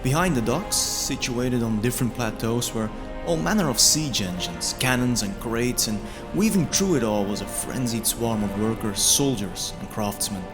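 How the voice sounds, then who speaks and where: Measured male fantasy narrator over music. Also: medium pitch, lively intonation. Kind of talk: scripted first-person fantasy narration.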